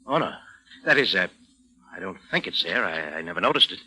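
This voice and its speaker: An actor playing a guilty, evasive schemer who bluffs in short, hesitant phrases in a 1940s radio drama. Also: male voice, deep voice, big pitch swings, a little fast, sharp tone, slightly rough, exaggerated voice, poor audio. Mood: nervous, evasive.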